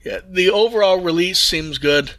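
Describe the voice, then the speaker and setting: A man's voice: sits high, normal speed, a bit bright. A man hosting a casual podcast news discussion, often restarting his phrases, on a close dry mic. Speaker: podcast host.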